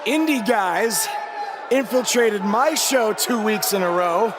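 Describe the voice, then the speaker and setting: A cocky young indie wrestler for a video game. He is high-pitched and lively, needling and goading like a prankster. A noisy crowd sits behind the voice. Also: takes it slowly. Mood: cocky taunting. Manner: brash, goading. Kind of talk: video game cutscene acting.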